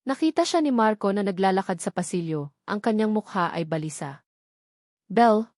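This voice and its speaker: A woman's voice: mid-range voice, sing-song, a little fast, darkish tone, dry recording. Woman narrating a dramatic romance, dry sound. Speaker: story narrator.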